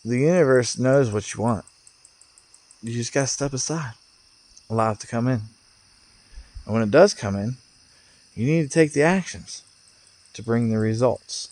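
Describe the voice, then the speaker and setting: A man speaking as a vlogger in a casual, off-the-cuff spiritual monologue, musing in broken phrases with pauses. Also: medium pitch, darkish tone. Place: outdoors, sitting in a rocking chair. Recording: outdoor recording with chirping insects. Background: cicadas or crickets.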